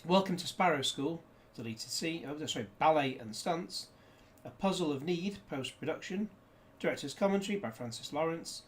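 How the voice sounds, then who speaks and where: Male reviewer, loose and jokey, a touch higher-pitched, faint background hiss. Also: expressive, moderate pace, few pauses. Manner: rambling, jokey asides. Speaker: disc reviewer. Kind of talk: casual unboxing chat.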